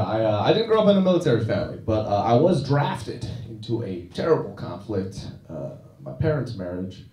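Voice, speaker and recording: A man's voice: fairly low pitch, echoey room, distant mic. A man doing a stand-up comedy set with a dry, self-deprecating delivery and frequent "uh" restarts, recorded on a comedy club stage. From China.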